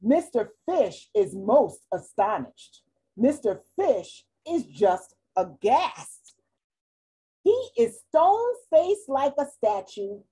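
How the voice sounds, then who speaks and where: A middle-aged woman reading a children's picture book aloud in an expressive, deliberate way, at home over a video call. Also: on the high side, husky. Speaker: storytime reader. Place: small room at home, indoors. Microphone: computer mic on a video call.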